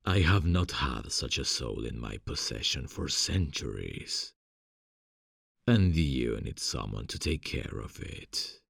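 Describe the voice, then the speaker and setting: Cavernously deep, gravelly seductive devil. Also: male voice, very animated, a bit bright, clean studio sound, exaggerated voice. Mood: seductive, possessive. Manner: slow, deliberate, coaxing, formal. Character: seductive, possessive male devil offering a soul contract. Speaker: voice actor. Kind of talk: ASMR fantasy roleplay acting. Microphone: close studio mic, dry.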